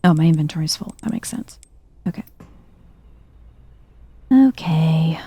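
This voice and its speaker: A woman giving soft-spoken, chill ASMR-style commentary as she plays a video game, murmuring as if to herself. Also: deep voice, expressive, unhurried, breathy edge, no room sound. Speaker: video game streamer, let's player.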